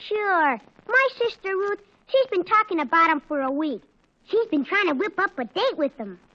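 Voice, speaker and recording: A woman's voice: very high-pitched, animated, normal speed, sharp tone, heavily acted. A woman playing a pesky, gossipy little boy in 1940s radio comedy, baiting coyly and repeating himself. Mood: gossipy excitement. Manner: repetitive, coy baiting. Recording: muffled 1940s radio recording.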